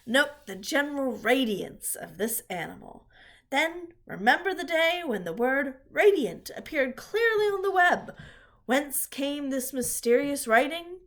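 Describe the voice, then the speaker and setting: Loud, formal fair announcer, speechifying, woman reading the part, storybook voice. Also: sits high, very animated, voice pushed up, full character voice. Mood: orotund. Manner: formal, speechifying, drawn-out emphasis. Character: pompous male fair announcer on a loudspeaker.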